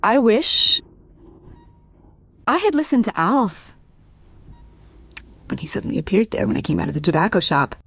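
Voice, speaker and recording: An actress playing a troubled, inquisitive woman in a crime radio play, with a husky voice. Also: medium pitch, very animated, normal speed, pauses often, fairly bright tone, exaggerated voice. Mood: anxious, inward. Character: troubled, inquisitive woman quietly investigating an accident. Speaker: voice actor in a crime audio drama.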